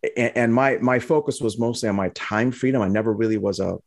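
A man's voice: mid-range voice, brisk, no room sound, studio quality. A middle-aged man from Lebanon, a guest talking casually in an interview, with many restarts and fillers. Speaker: guest, trading educator.

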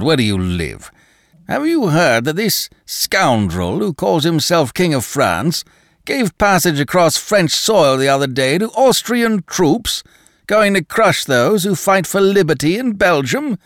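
A man's voice: fairly high pitch, sing-song, unhurried, no room sound, clean studio sound, voice pushed up, heavily acted. A man voicing a coarse, domineering giant of a man who roars and hectors, raising his voice well above his narration. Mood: blustering. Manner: loud, blustering, hectoring.

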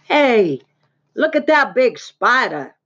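A woman voicing an excitable, bossy young farm boy in a children's storybook, with loud, drawn-out exclamations. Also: mid-range voice, muffled recording, natural voice. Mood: excited, eager.

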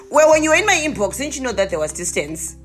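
A young woman from Zimbabwe with a Zimbabwean accent, telling comic stories to her viewers in a casual, animated way with exclamations. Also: sits high, fairly quick, faint music behind. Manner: animated, exclaiming, laughing often.

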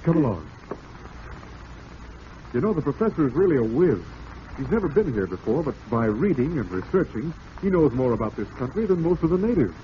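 An actor playing a smooth-talking, falsely cheerful husband with murder in mind, in old-time radio drama style. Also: male voice, fairly high pitch, big pitch swings, a little fast. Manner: suave, falsely cheerful, darkly ironic. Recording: dull, noisy vintage radio recording.

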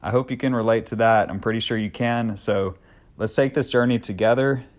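A man's voice: medium pitch, fairly even intonation, fairly quick. A man in his thirties hosting a solo podcast, talking in an earnest, upbeat, conversational way with occasional fillers. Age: 30s. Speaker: podcast host.

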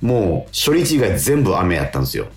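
Low-voiced, animated Japanese man with touch. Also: fairly quick, roomy sound. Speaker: radio show co-host and stage actor. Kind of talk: radio talk, chatty storytelling.

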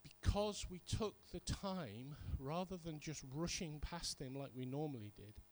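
A man's voice: on the high side, lively intonation, measured pace, darkish tone. A middle-aged British man giving a sermon, telling stories conversationally and repeating points for emphasis. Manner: conversational storytelling with emphatic repetition. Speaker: preacher. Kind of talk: sermon with personal anecdotes.

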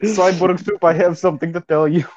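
Podcast co-host, male, dark voice on a dry, dull recording, mock-solemn deadpan rambling. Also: high-pitched, brisk, dry recording. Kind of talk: improvised comedy banter.

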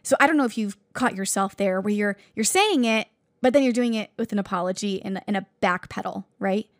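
A woman's voice: mid-range voice, brisk, dry recording, studio quality. A woman preacher giving an earnest, fervent sermon in a conversational way, with asides to her listeners.

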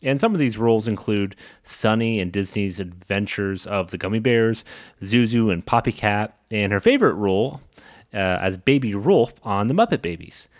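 A man's voice: fairly low pitch, animated, normal speed, dry recording, clean studio sound. A man hosting a podcast in a casual, chatty, informal style. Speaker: podcast host. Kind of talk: casual podcast introduction and interviewing.

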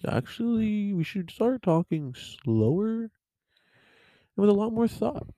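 A man's voice: high voice, sing-song, a little slow, dark tone, no echo. A young man co-hosting a casual podcast, rambling with lots of fillers, laughing and swearing. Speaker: podcast co-host. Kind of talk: casual chat.

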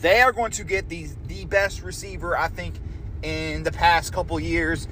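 A man's voice: fairly high pitch, fairly even intonation, normal speed, a bit bright, a little hiss or hum. A man hosting a podcast, chatting casually and loosely, often restarting and correcting himself as he goes. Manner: rambling, loose, self-correcting. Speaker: podcast host. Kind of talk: casual sports prediction chat.

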